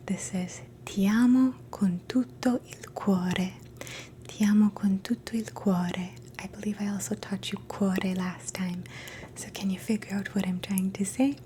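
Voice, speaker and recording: A woman in a soft, gentle, mostly unvoiced whisper, slowly teaching phrases in a relaxing language lesson and repeating each one. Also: medium pitch, slight background noise. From Canada. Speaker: ASMR host teaching phrases.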